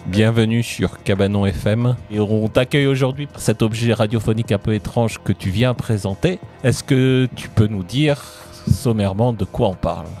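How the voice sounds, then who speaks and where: A man's voice: fairly low pitch, moderate pace, few pauses, warm tone, soft background music. A man interviewing a guest as a radio co-host.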